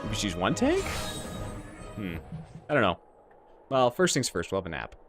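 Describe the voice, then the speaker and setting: Animated man playing a video game. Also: fairly low pitch, normal speed. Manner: informal, slangy, thinking aloud. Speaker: let's player. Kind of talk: casual gameplay commentary. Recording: game music behind. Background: video game music.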